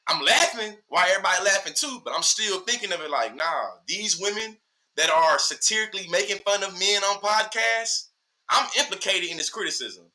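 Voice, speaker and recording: A man's voice: sits high, brisk, low-quality recording. A man in his early thirties from Texas with a Southern accent, talking casually and animatedly in slangy, rhetorical bursts as a podcast guest. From Texas, USA. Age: early 30s. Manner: animated, rhetorical, slangy, often checks listener follows. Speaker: podcast guest, social media educator. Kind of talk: casual, opinionated conversation.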